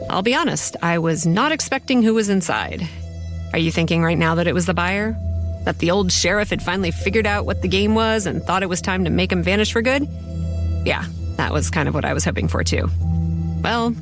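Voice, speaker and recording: Woman as a low-voiced, wry horror story narrator. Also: close to an everyday voice. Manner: confiding, wry. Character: sardonic, haunted young woman running a campground.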